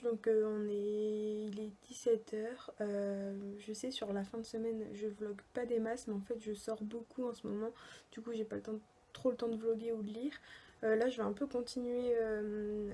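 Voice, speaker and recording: Young woman vlogger, quiet half-whisper, hesitant, mellow and even, roomy sound. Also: on the high side, moderate pace. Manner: hushed, often half-whispered, hesitant with many fillers. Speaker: book vlogger. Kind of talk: casual reading-challenge vlog diary. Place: at home at night.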